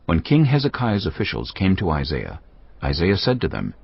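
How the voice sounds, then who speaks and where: Man, low voice, animated scripture narration, husky, scripted, slightly muffled. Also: normal speed.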